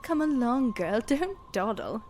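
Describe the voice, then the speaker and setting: Woman playing a slow-drawling, predatory villainess. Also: on the high side, very animated, darkish tone. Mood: commanding. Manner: slow, taunting, imperious. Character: predatory, sadistic female villain, a weather mage. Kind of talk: audio drama acting. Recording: clear background noise.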